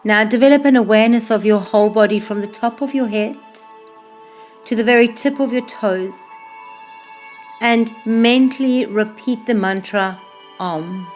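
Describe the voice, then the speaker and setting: A woman yoga instructor leading a guided meditation in a slow, calm, soothing way, with unhurried phrases that trail off softly. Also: mid-range voice. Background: music playing behind the voice.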